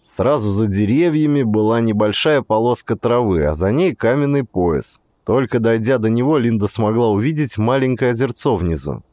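A man narrates an audiobook with dramatic, very varied intonation. His voice sits at a medium pitch, and the recording is dry and close, with no audible room. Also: animated, moderate pace, few pauses, mellow, dry recording.

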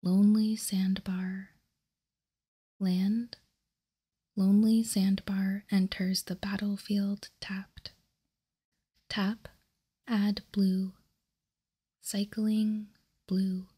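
A woman whispering softly for ASMR, reading aloud in deliberate, measured short phrases close to the microphone. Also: mid-range voice, little pitch movement. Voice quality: soft, breathy whisper. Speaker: ASMR video host reading trading cards. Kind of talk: whispered ASMR, slow card read-out.

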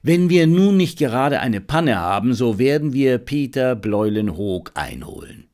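A man voicing a confident gentleman amateur detective in an audiobook, self-assured and commanding, given to reflection. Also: medium pitch, very animated, unhurried. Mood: satisfied, musing.

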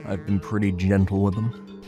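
An actor voicing a calm, protective man in a manga dub, steady and reassuring. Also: low-pitched, moderate pace, over music, close to an everyday voice. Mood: stern. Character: calm, protective men: a young henchman, a husband. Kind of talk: manga dub character acting.

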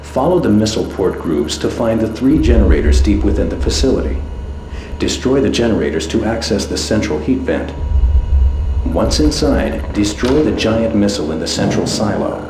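Male actor: game briefing officer, lowish voice, businesslike and authoritative, roomy echo with clear noise. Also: expressive, moderate pace, natural voice. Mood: firm, grave. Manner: formal, authoritative. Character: firm, businesslike military commander briefing fighter pilots. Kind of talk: scripted military briefing. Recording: echoey, noisy briefing audio.

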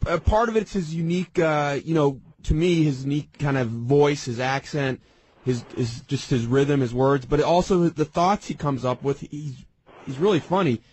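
Male co-host, slightly high pitch with a husky edge, rambling casual radio chat, repeats his phrases. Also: moderate pace, a little mellow, low-quality recording.

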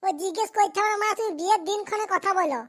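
This is a cartoon young bridegroom, brash and impatient. The voice is extremely high and piercingly bright, and it races along. He talks in crude, blunt bursts of complaint. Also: very fast. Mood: annoyed. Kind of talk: comedy cartoon dialogue.

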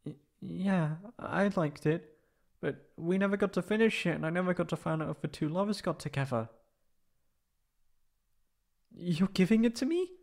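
A man's voice: sits high, expressive, normal speed, creaky, long pauses, darkish tone. A man voicing a proud but insecure, emotional tsundere catboy in an ASMR roleplay, with hesitant, stammering speech. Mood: hesitant, wistful.

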